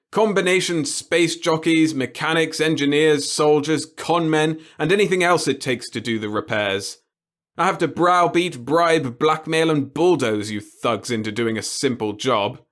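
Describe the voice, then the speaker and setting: Give the voice of a tyrannical old male boss from a sci-fi audiobook, acted out by a male narrator. He pitches his speech up and bears down on each phrase. He gloats and lectures with open glee, browbeating whoever has to listen. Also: lively intonation, a little slow, fairly bright tone. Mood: exasperated, browbeating.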